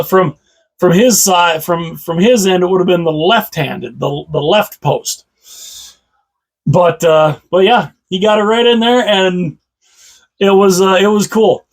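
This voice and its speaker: A man with a slightly hoarse voice, chatting casually about hockey as a channel host, rambling and repeating himself with enthusiasm. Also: high-pitched. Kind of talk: casual solo commentary to camera.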